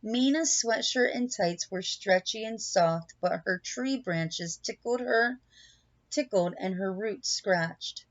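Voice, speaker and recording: A woman reading a children's picture book aloud in an expressive, deliberate storytelling manner, with asides to young listeners. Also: medium pitch, no echo, highs cut off. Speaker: storybook reader.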